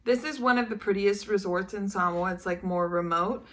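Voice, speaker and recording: A woman's voice: mid-range voice, moderate pace, a bit bright. A woman chatting casually and telling stories with her partner for a vlog, in a playful, teasing way. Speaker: vlog co-host telling stories over photos. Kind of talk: casual storytelling chat with partner.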